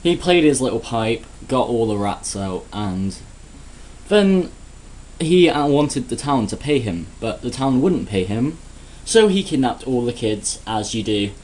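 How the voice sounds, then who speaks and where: A man's voice: mid-range voice, sing-song, measured pace, a little mellow, rough recording. A man giving a sarcastic, crude, rambling comedic rant in a casual vlog. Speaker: vlogger.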